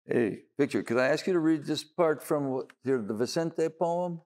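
A man's voice: a little high, normal speed, darkish tone. A man hosting a podcast, asking a poet questions with enthusiastic brief affirmations. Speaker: podcast host. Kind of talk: interview questions.

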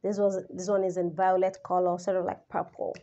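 Chatty woman, slightly raspy from a cold. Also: lowish voice, fairly quick, highs cut off. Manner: chatty and expressive, addresses viewers directly. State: slightly raspy from recovering flu. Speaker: perfume reviewer. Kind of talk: casual product review vlog. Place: indoors, small room, seated. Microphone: camera in front, head-and-shoulders framing.

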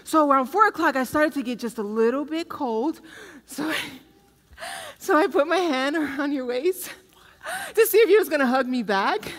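A woman's voice: high-pitched, expressive, normal speed, fairly bright tone. A woman playing a giddy, clingy, lovestruck young woman in a comedic stage play, gushing breathlessly and melodramatically. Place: theatre stage, indoors. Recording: noisy stage recording from far off.

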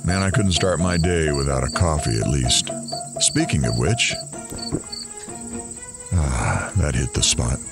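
An actor playing a musing, laid-back host who observes events in an audio drama. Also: male voice, a bass voice, expressive, a little slow, long pauses, loud music behind the voice, full character voice.

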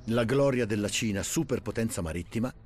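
A man's voice: lowish voice, a little fast, darkish tone, soft background music. A man reading scripted documentary narration.

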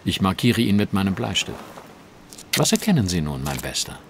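An actor playing a confident, sardonic gentleman detective who instructs and teases dryly in an audio drama. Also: low voice, big pitch swings, moderate pace, creaky, noisy background, exaggerated voice. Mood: composed, instructive. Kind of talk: German audio drama acting.

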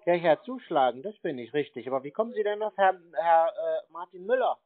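A man's voice: fairly high pitch, lively intonation, a little fast. A man on a phone line answering a telemarketer, stubborn and acting clueless. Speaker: call recipient baiting a telemarketer. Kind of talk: phone conversation. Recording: narrow tinny phone line.

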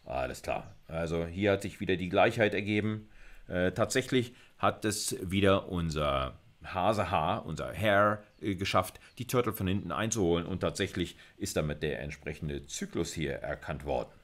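A middle-aged man with a low-pitched voice explains material in an informal university lecture. He talks conversationally, thinking out loud and asking himself questions before answering them. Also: moderate pace. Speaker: university computer science lecturer.

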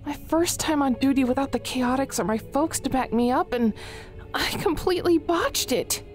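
Woman acting a young female echidna, fairly high voice, hot-headed, intense, unsure of herself, cartoon dub. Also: normal speed, soft background music, lightly acted. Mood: dejected.

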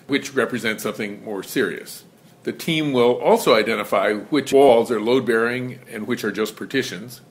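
A man presenting an educational lesson in measured, scripted narration. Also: medium pitch, fairly bright tone. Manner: measured, explanatory.

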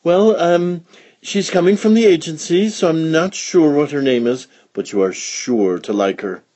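A man voicing a fussy, vague mother pig in a storybook reading, with a high, breathy, bustling manner. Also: a little slow, warm tone. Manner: bustling, flustered.

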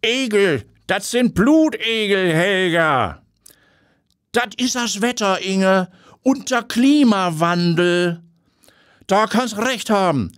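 A man's voice: sits high, lively intonation, slow pace, fairly bright tone, dry recording, clean studio sound, voice pushed up, exaggerated voice. A man voicing a chatty older woman, drawling, in an audiobook. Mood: gossiping.

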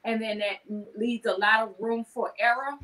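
A woman's voice: fairly high pitch, steady tone, normal speed, pushed and bright, poor audio. Woman chatting casually on a video call. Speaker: guest, wellness business owner. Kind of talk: casual chat with self-promotion. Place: indoor room on a video call.

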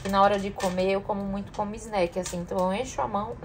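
A woman's voice: mid-range voice, steady tone, fast talker, noisy background. A young woman chatting casually to her viewers while sorting food in her home kitchen, filmed by a camera on the counter. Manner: chatty, addresses viewers often. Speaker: vlogger. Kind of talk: casual kitchen vlog chat.

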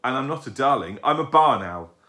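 A man voicing an eager, indignant young male owlet in a children's storybook, excitable and earnest. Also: mid-range voice, fairly quick, pitched above the performer's own voice.

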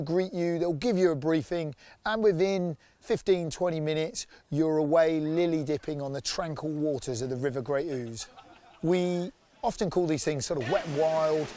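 A man's voice: on the high side, darkish tone. A man in his forties, an outdoor activity company director, talking enthusiastically in a promotional interview outdoors in a sunny woodland, on a lapel mic. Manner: enthusiastic, upbeat.

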